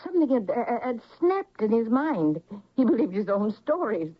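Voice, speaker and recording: A woman's voice: a little high, very animated, normal speed. An actress playing a bitter, accusing middle-aged mother in a radio drama. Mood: anguished, confessing. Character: bitter middle-aged mother exposing a painful family secret. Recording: muffled old radio broadcast.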